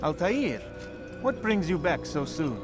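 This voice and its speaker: An actor playing a formal, questioning bureau chief of an assassin order in a game. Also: male voice, a little high, very animated, measured pace. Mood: formal, appraising. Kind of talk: dramatic game cutscene acting. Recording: music clearly behind.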